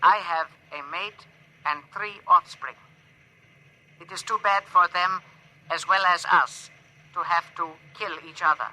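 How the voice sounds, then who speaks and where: A man's voice: high voice, measured pace, pauses often, pushed and bright, heavily acted, rough recording. An actor in a 1950s radio drama voicing an earnest, cautious male alien with stilted, formal phrasing through a radio-transmission effect. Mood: earnest, sorrowful.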